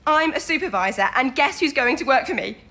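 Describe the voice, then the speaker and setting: An actress playing an excitable, self-doubting young wartime codebreaker with an eager, girlish manner in an audio drama. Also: sits high, brisk, exaggerated voice. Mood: thrilled. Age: young adult.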